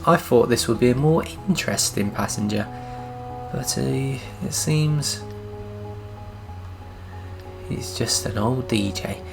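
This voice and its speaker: A man's voice: medium pitch, slow, creaky, pauses often, music in the background. A man giving casual gameplay commentary with wry, grumbling asides. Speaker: gaming commentator.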